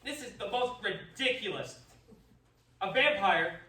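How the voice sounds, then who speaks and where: An actor playing a skeptical, indignant doctor in an incredulous radio-drama delivery. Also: male voice, high-pitched, lively intonation, unhurried, bright, forceful tone, heavily acted. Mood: scoffing. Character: skeptical, scoffing male doctor. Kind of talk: stage-like radio drama acting. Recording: roomy, distant mic, faint noise.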